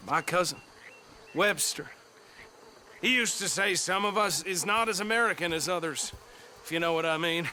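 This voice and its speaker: A man's voice: high-pitched, normal speed, long pauses, pushed and bright, a little hiss or hum. An actor playing a folksy, cranky Wild West lawman in a video game, blustering in colloquial turns of phrase. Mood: folksy, sly. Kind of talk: video game drama acting.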